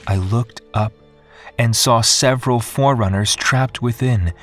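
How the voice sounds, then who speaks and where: An actor playing an introspective researcher, giving a measured, contemplative first-person narration in an audio drama. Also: male voice, mid-range voice, a bit bright, natural voice. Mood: solemn, tense. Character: solemn, introspective male researcher recounting his ordeal. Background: music.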